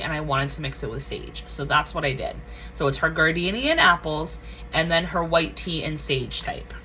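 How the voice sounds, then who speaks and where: A woman with a slightly low, bright voice reviews scents in a casual vlog. She is getting over a cold and gushes over everything, repeating her favorite words. A faint hiss sits behind her. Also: poor audio. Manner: gushing, enthusiastic, repeats phrases. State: recovering from a cold, with a cough. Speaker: wax reviewer.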